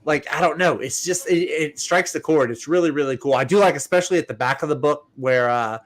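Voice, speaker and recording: A man's voice: a little high, a little fast. Stuffy-nosed man chatting about comics. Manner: chatty, laughs often, many fillers. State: stuffy from a cold. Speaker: comic show co-host. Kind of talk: casual chat.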